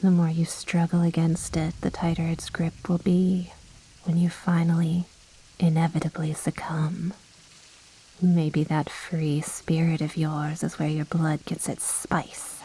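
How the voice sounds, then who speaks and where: A commanding vampire mistress, played by a woman for an ASMR roleplay, speaks slowly and close in a low-pitched, even murmur. Her breathy whisper is haughty and coaxing, with a possessive, seductive menace. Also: heavily acted, poor audio. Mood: seductive, controlling.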